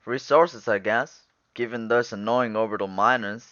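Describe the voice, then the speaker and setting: A man playing a young human space trainee in an animated film, talking in a casual, bantering way. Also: lowish voice, normal speed, no room sound, muffled recording, natural voice. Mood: casual, grumbling.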